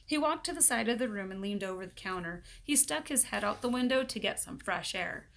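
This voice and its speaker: A woman reading a children's book aloud as expressive narration, acting out the dialogue in an animated way. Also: mid-range voice, brisk, vocal fry.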